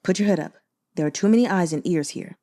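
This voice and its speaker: A woman's voice: on the low side, fast talker, mellow, lightly acted. A woman voicing an aloof, irritable young nobleman in an audiobook, speaking in a curt, accusatory, sneering manner.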